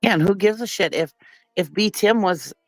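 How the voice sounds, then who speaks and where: A woman's voice: mid-range voice, normal speed, dry recording, soft background music. A woman with a stuffy nose from a cold, hosting a casual livestream and chatting bluntly with viewers, often laughing and swearing. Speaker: livestream commentary host.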